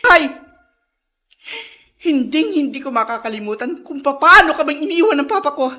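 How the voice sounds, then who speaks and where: A woman's voice: high voice, lively intonation, normal speed, pushed and bright. An actress playing an emotional, anguished mother in a Filipino radio drama. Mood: bitter, vengeful. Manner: dramatic, anguished, breaking into sobs. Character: guilt-ridden ailing mother, emotional and remorseful.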